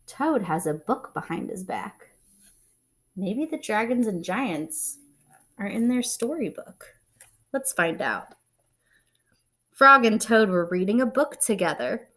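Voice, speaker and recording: A woman reading a children's picture book aloud, expressively, pausing to explain and put questions to young listeners. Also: mid-range voice, lively intonation, a bit bright. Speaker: read-aloud storyteller and teacher-like reader.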